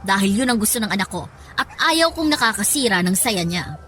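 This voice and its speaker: A woman's voice: mid-range voice, big pitch swings, a little fast, a bit bright, a little hiss or hum. A woman playing a haughty, two-faced middle-aged mother in a radio drama, condescending and theatrical, mixing English into her talk. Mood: scornful, menacing. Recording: music under the voice.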